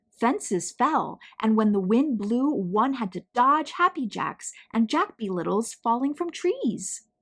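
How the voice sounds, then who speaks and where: A woman reads a children's picture book aloud. Her delivery is slow and deliberate, with lively swings in pitch and dramatic emphasis on key words. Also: slightly rough. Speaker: children's story reader.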